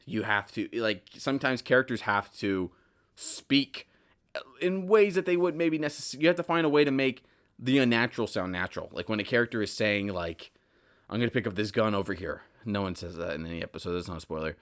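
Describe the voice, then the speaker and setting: American man, lower-pitched, casual podcast host, rambling monologue, animated when acting out examples. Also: fairly quick.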